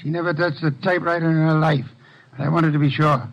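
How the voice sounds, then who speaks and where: An actor playing an imperious genius detective in an old-time radio drama, speaking in a pompous, precise, curt manner. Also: male voice, on the high side, level tone, fast, vocal fry, muffled recording. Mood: smug. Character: imperious, dry genius private detective, a mature man. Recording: vintage radio drama recording.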